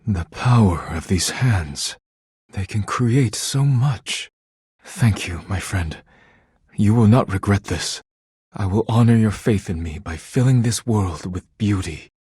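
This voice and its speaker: An actor playing a proud, formal male artist in a video game, with a husky voice and deliberate, theatrical phrasing. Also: lowish voice, animated, a little mellow, dry recording, clean studio sound, heavily acted. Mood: earnest, awed. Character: proud, formal, easily wounded male artist, devoted when befriended.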